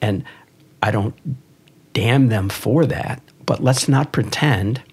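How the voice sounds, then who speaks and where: A man's voice: mid-range voice, slow, breathy edge. A man speaking as a priest and podcast host in earnest religious discussion, emphatic and given to repeating key phrases.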